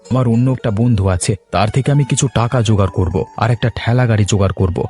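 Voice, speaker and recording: A man's voice: on the low side, fast, darkish tone, soft background music, close to an everyday voice. A man voicing a proud, hopeful poor husband in a cartoon, earnest and resolute. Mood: earnest, planning. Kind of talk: cartoon dialogue acting.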